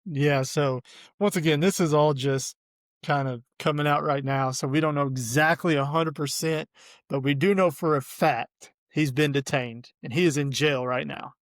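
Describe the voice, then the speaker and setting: A man with a cold, sounding hoarse, hosting a casual sports podcast and laughing often. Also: on the high side, moderate pace, no room sound, studio quality. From Arkansas. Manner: laid-back, laughs often. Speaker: podcast host.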